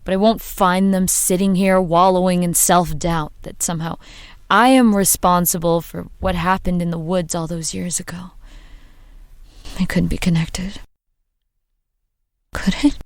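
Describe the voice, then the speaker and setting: A woman's voice: fairly low pitch, steady tone, no echo. An actress playing a young woman narrating her anxious thoughts after her father's death. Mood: brooding. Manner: brooding inner monologue, slow.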